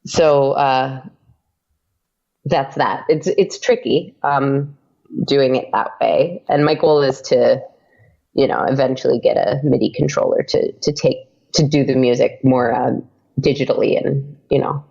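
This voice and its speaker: Woman podcast guest, lots of ums and restarts, creaky voice, muffled call sound. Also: very deep voice, steady tone, a little slow, mellow. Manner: hesitant, many fillers and restarts. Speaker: podcast guest, audio drama creator. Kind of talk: casual interview chat.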